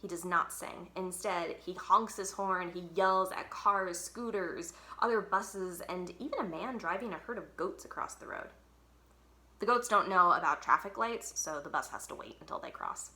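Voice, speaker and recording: Woman reading a kids story out loud, dramatic emphasis, bright voice, roomy echo. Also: lowish voice, moderate pace. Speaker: library read-aloud storyteller. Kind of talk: expressive children's book read-aloud.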